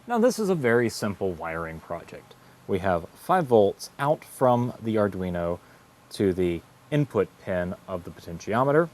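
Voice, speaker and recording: A man's voice: lowish voice, very animated, moderate pace, a little mellow. A man narrating a scripted technical tutorial as an instructor, explaining things methodically in carefully phrased steps. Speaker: instructor and host of an electronics tutorial series.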